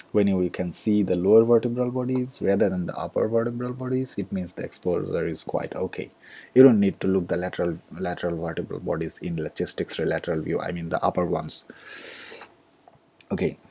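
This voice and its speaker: A man with a Bangladeshi accent lectures in a halting way, full of fillers and restarts, with the odd sarcastic aside. His voice sits very low, with a gravelly fry and a dark, husky tone. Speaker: medical lecturer. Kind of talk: recorded lecture over slides.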